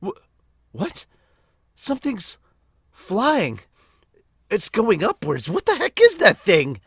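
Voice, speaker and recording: A frightened villager, played by a man exclaims in bewilderment. The voice is pitched high and swings about nervously. It has an anxious, forceful edge in an amateur manga dub. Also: wildly swooping pitch, takes it slowly, gravelly, breathy edge, dry recording, clean studio sound, exaggerated voice. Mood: frightened, bewildered.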